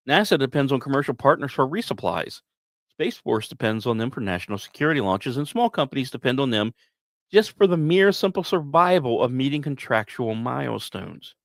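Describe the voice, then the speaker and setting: A man hosting a podcast, reading scripted narration with casual asides in a storyteller's manner, with dramatic pauses and emphasis. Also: mid-range voice, no echo, studio quality. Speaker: podcast host.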